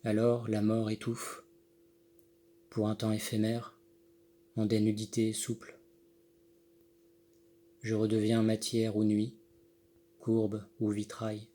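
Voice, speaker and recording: A man reciting his own poem in measured, deliberate phrases with pauses between them. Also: fairly low pitch, monotone, dark tone. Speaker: poet reading own poem. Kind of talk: poetry recitation. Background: Handel music accompanies the reading.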